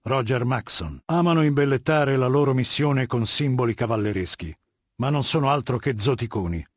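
An actor voicing a cold, haughty middle-aged warlord dictator in a video game, lecturing in a measured, commanding and disdainful way. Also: male voice, mid-range voice, no echo, studio quality, lightly acted. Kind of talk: video game character dialogue.

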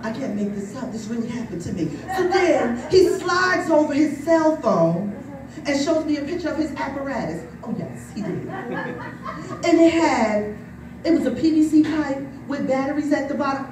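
A woman's voice: high-pitched, lively intonation, moderate pace. A woman in her forties from New Jersey doing a bawdy stand-up set, often asking "you know what I mean?". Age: 40s. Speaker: stand-up comic. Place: brewery taproom with live audience. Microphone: filmed from the crowd by a far camera. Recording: echoey room, from far off, crowd noise.

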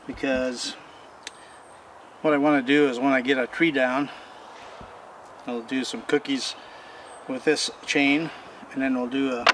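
An older man talks through a repair job in a folksy, rambling way, standing out in his backyard. The camera's mic picks him up from a few steps off, and steady outdoor noise sits under his voice. His pitch is ordinary for a man. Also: distant mic. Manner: folksy, rambling, plain-spoken. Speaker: homesteading channel host. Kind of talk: casual how-to demonstration. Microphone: camera mic from a distance.

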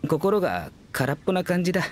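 A man's voice: high-pitched, very animated, brisk, noisy background. A man playing a wistful, humble working man in a Japanese anime game, speaking earnestly and expressively. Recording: studio voice over background music.

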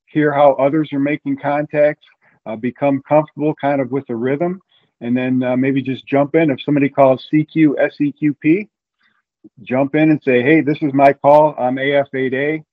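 A middle-aged American man explaining things casually as an interview guest, speaking from a room at home over a video call. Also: medium pitch. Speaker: interview guest, amateur radio event coordinator. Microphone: video call with earbuds. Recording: narrow, phone-like video call audio.

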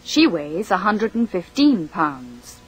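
A woman reading a scripted language course drill, slowly and with careful enunciation. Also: lowish voice, very animated, husky, low-quality recording. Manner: slow, deliberate, carefully enunciated.

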